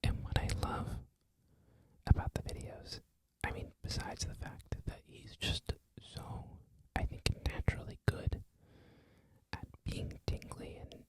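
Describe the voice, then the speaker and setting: An ASMR creator whispering a loose, rambling talk, full of "like" and "um" fillers. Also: a little slow. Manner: soft whisper throughout, frequent "like" and "um" fillers.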